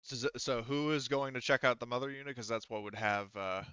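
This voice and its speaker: A man's voice: medium pitch, fairly quick, pushed and bright, clear, resonant tone, dry recording, highs cut off. A man running a tabletop roleplaying session as game master, explaining and refereeing as he goes.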